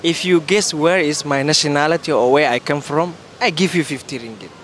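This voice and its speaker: A man's voice: fairly high pitch, a little fast, fairly bright tone. A man from Somalia with a Somali accent, hosting a casual street quiz outdoors. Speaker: street interviewer, YouTube host. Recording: noisy outdoor urban street.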